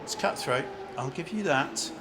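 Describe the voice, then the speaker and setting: A man's voice: a little high, animated, normal speed, noisy background. A man playing a brusque, chatty city banker in an amateur radio play, grumbling and asking blunt questions. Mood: defensive, cynical.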